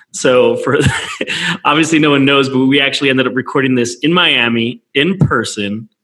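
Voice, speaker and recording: A relaxed male podcast host with a bright, forceful voice who laughs easily and talks in loose, friendly bursts. He keeps the chat casual and quick to restart his sentences. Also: mid-range voice.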